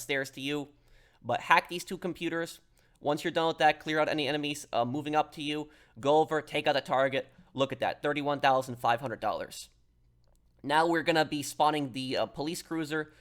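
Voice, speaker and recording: A man's voice: on the high side, fairly bright tone. A man giving a casual, step-by-step gameplay tutorial in an instructional way, like an online gaming guide host.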